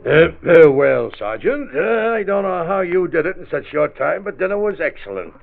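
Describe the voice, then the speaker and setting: A man's voice: a little high, very animated, normal speed, gravelly. An American actor playing a middle-aged, stubborn suburban father in a 1940s radio drama, folksy and a little hesitant. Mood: teasing. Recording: tinny, band-limited old radio transcription.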